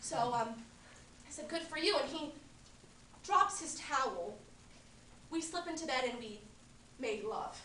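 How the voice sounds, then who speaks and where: A woman's voice: high-pitched, lively intonation, measured pace, pauses often, bright, forceful tone, a little hiss or hum, voice pushed up, heavily acted. A woman playing a young woman who recounts an odd affair with dry amusement, recorded from a distance in a roomy space. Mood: wry. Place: room at a performance.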